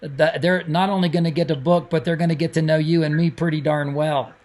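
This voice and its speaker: A man's voice: fairly high pitch, steady tone, fairly quick, a little mellow. A man, an author reading his own storybook and chatting casually around it. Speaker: author and narrator. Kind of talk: storybook narration and casual chat.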